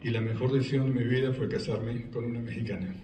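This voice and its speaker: A man's voice: mid-range voice, level tone, quick pace, very noisy. Argentine man telling stories in an echoey hall. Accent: Argentine Spanish. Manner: conversational storyteller, jokes with the audience. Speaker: speaker giving a talk. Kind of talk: personal story talk to a live audience. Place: event hall before an audience. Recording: echoey hall, recorded from a distance.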